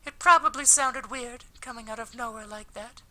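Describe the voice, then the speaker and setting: A man voicing a kind, earnest high school girl, politely apologetic and gently teasing, in a visual novel. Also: normal speed, slight background noise, exaggerated voice. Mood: sheepish, teasing. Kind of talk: visual novel character read aloud.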